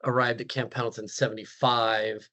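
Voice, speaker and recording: A man's voice: mid-range voice, little pitch movement, moderate pace, sharp tone. Easygoing joking male podcast host. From USA. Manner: joking and easygoing, with many fillers. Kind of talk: casual interview chat.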